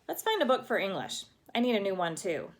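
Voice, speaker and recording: A woman's voice: medium pitch, sing-song, brisk. A woman voicing a thoughtful young girl from a storybook who speaks in an earnest, matter-of-fact way.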